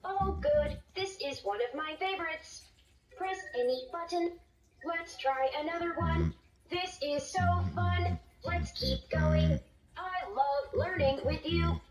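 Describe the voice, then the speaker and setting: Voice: high voice, huge pitch swings, slow pace, heavily acted. A voice actor playing a cheerful little toy robot tutor with upbeat, encouraging, sing-song lines, heard through a toy speaker. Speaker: learning toy voice. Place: toy speaker played in a room. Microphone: recorded from a distance. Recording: roomy, distant toy speaker with music.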